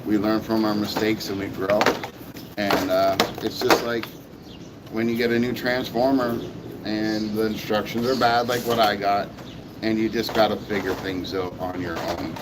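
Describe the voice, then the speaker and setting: Hoarse low-voiced man rambling casually. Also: little pitch movement, normal speed, very noisy. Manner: rambling, repeats himself, many fillers. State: hoarse, sore voice. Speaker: toy reviewer. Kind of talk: casual vlog chat.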